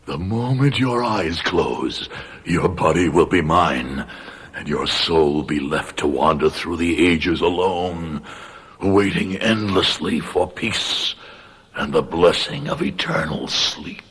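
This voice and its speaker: Ancient wandering spirit: low, very husky, solemn and threatening, unhurried radio-drama delivery. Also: male voice, a bit bright, full character voice. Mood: menacing. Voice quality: husky, breathy, whispery. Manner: slow, portentous, formal. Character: ancient ghostly doppelganger, cursed wanderer, menacing and solemn. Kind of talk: radio horror drama acting.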